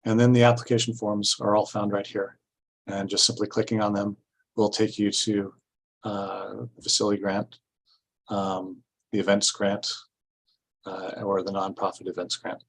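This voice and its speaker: A man giving an informational presentation at a public meeting, explaining things step by step in a halting way. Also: on the low side, flat delivery, rough recording. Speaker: city staff presenter at a grant orientation.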